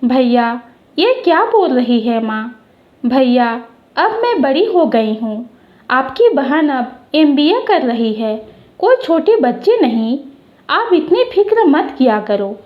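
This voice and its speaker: A woman's voice: high voice, very animated, takes it slowly, highs cut off, exaggerated voice. A woman voicing a playful young woman, a cheeky younger sister who teases and protests in a story. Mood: protesting. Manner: teasing, protesting.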